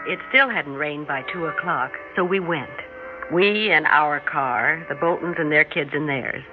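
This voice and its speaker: An actress with an American accent playing a sly, self-assured suburban wife with a secret gift in a 1960s radio drama. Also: female voice, low voice, unhurried, phone-quality sound. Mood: composed, confiding. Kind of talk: 1960s radio drama acting and first-person narration. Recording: thin, band-limited old radio, music behind.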